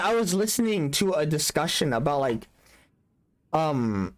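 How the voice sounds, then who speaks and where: A young adult man streaming a game in casual chat, joking about himself and laughing often. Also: on the high side. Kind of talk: casual live-stream chat while gaming.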